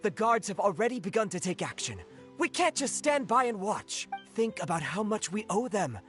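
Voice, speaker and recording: An actress playing an earnest, agitated townsperson in a video game, rallying others with urgency. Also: female voice, medium pitch, very animated, moderate pace, faint music behind, lightly acted. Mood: indignant, rallying.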